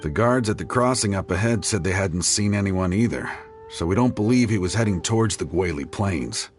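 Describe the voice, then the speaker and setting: A man's voice: deep voice, lively intonation, brisk, soft background music. A man playing a dutiful, kindly guard in a video game. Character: dutiful, kindly male guard reporting on search.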